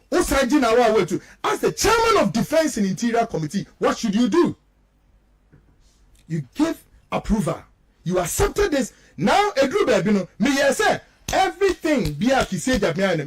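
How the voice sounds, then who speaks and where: A man's voice: very high-pitched, poor audio. A man with a Ghanaian accent giving impassioned political commentary as a radio host, emphatic and repetitive, firing off rhetorical questions. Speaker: radio talk show host.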